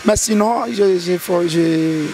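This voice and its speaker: A man's voice: sits high, slow. A man talks as a gospel singer in an interview. He answers earnestly, stumbling and restarting his words as he thinks. A faint noise hums under the recording. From DR Congo. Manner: earnest, hesitant with repeated restarts.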